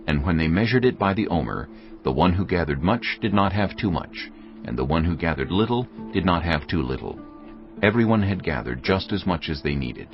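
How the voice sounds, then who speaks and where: Casting note: male narrator, deep gravelly voice, measured scripture reading, dull track. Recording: dull audio with faint music behind.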